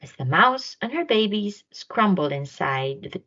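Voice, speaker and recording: A woman narrating a children's picture book aloud in an expressive storytime style. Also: mid-range voice, measured pace, dull audio.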